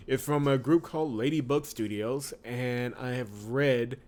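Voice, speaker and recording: A man hosting a visual novel playthrough, chatting casually and reading the story aloud in an expressive way with wry asides. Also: mid-range voice, unhurried. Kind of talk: casual let's-play commentary with read-aloud narration.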